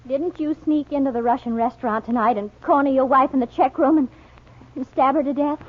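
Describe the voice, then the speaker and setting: A woman playing a headstrong, excitable American amateur sleuth in a 1940s radio drama, with a breathless, insistent manner. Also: high-pitched, brisk. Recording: dull 1940s radio recording.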